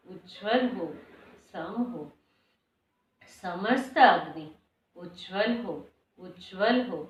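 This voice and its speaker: A woman leading a guided meditation, speaking prayers and affirmations slowly and deliberately in short, repeated phrases. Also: mid-range voice. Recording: echoey room, distant mic, dull sound.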